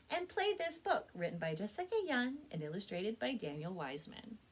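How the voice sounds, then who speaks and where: A woman speaking as a children's librarian and storyteller, with an expressive, clearly enunciated read-aloud delivery. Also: medium pitch. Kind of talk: children's storytelling and book recommendations.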